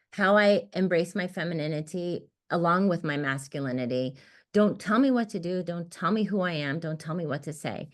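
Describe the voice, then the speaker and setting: Woman poet, Armenian first language, fairly low voice, emphatic and animated; video-call interview. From United States. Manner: expressive, emphatic, rises in pitch when animated. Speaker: poet, guest author. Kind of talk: casual conversation about writing. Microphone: computer mic on a video call.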